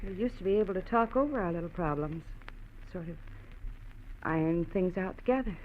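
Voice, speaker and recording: A woman's voice: lowish voice, expressive, fairly quick, slight background noise, natural voice. An actress playing a loving, anxious wife who pleads with her husband, in 1950s radio drama style. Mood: worried. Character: loving, worried young wife. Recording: tinny vintage radio broadcast.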